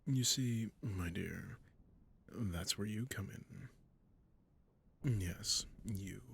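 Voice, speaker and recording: A man playing a sadistic, possessive vampire lord in intimate roleplay audio, with a breathy, gravelly near-whisper and silky, mock-courteous, condescending endearments. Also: deep voice, slight background noise, full character voice. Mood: seductive, possessive.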